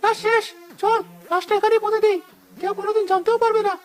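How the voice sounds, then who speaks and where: An extremely high-pitched, squeaky cartoon trader, a treacherous greedy man voiced by a woman. The delivery is fast. Faint music runs behind. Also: steady tone. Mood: menacing. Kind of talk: cartoon story acting.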